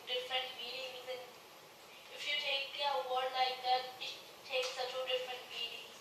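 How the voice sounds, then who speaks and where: A woman student from the audience speaks up with a high voice, groping for words and correcting herself. She is heard far from the mic in a very echoey room, with clear background noise. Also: little pitch movement, normal speed, sharp tone. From United States.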